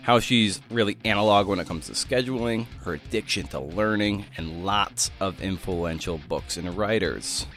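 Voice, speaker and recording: A man's voice: deep voice, normal speed, hardly any pauses, a bit bright, faint music behind. A man working as a podcast host, moving between scripted introduction and casual interview talk.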